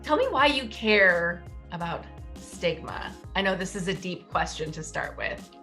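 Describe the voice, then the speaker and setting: Bright-voiced woman podcast host. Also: lowish voice, very animated, measured pace, soft background music. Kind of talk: interview hosting.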